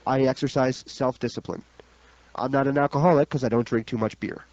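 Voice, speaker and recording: A man's voice: medium pitch, steady tone, fairly quick, a little mellow, no room sound. A man co-hosting a radio show, giving blunt, emphatic opinions in casual sports chat. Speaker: radio co-host. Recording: dull, lo-fi radio recording.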